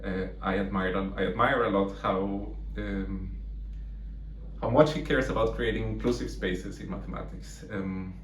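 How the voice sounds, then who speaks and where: A man's voice: sits low, steady tone, normal speed. A man from Colombia, a mathematics lecturer in his thirties, answering interview questions thoughtfully with many fillers and restarts. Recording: echoey room from far off, faint noise.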